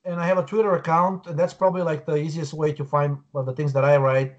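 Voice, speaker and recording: Bulgarian guy in his 40s, kinda high voice, earnest, dull call audio. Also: level tone, quick pace. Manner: earnest, explanatory, many restarts. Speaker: guest researcher. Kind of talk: interview over video call. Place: home room, indoors. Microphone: video call.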